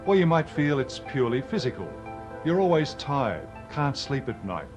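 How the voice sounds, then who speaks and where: An Australian man presenting an educational film in a measured, explanatory, scripted style. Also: mid-range voice, big pitch swings, music in the background.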